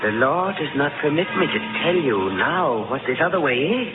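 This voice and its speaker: A man's voice: a little high, expressive, lightly acted. Man acting a pitiless Inquisition judge, theatrical, swooping. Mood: grave, menacing. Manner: solemn, deliberate, theatrical. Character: stern, pitiless Inquisition judge pronouncing doom. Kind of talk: old-time radio drama acting. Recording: narrow-band vintage radio, loud music behind.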